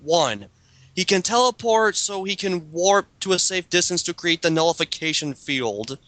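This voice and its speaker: A man's voice: high-pitched, lively intonation, moderate pace, fairly bright tone, no echo, poor audio. A man doing a dramatic reading of a written character bio, breaking into casual commentary as host.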